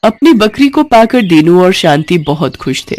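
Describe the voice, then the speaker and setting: A woman's voice: on the low side, sing-song, moderate pace, darkish tone. A woman narrating a scripted moral bedtime story for children as a storyteller.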